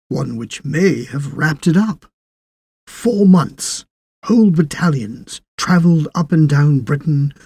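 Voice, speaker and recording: A man reading a literary short story as a narrator, in a measured, dramatic storytelling manner. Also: mid-range voice, creaky, warm tone, slightly rough, no room sound, studio quality. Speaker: audiobook narrator.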